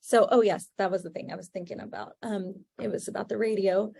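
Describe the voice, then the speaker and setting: A woman's voice: medium pitch, brisk, vocal fry, warm tone, dry recording. Chatty woman therapist teaching, dry sound. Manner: chatty, many fillers, laughs easily. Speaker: therapist presenting a webinar. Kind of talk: informal webinar teaching.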